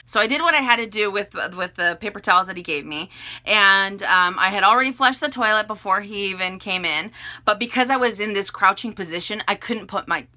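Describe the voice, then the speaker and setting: A woman vlogger telling a story to camera in a loud, animated, rambling way. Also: mid-range voice, brisk. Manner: animated, loud, not soft-spoken; rambling with many fillers and restarts.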